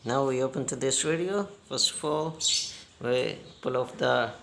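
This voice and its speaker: Slow, gravelly man giving halting instructions. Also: mid-range voice, noisy background. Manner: halting, step-by-step instructions in short phrases. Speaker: repair tutorial host. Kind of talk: unscripted hands-on repair demonstration.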